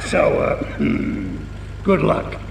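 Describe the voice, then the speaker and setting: An echoing, distant voice of a shifty middle-aged American veteran in a video game. He is gruff and jumpy, with gravel in a highish voice that swings widely. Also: wildly swooping pitch, drawn-out words, full character voice. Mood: conspiratorial. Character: shifty, agitated corrupt middle-aged veteran with shady deals. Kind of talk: video game cutscene acting. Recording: echoey, distant, faintly noisy.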